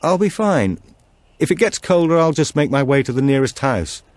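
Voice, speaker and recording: A man reads as a practical, composed policewoman in a thriller audiobook. The voice is raised in pitch, brisk and matter-of-fact, as if reporting over police radio. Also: very animated, no room sound, close to an everyday voice.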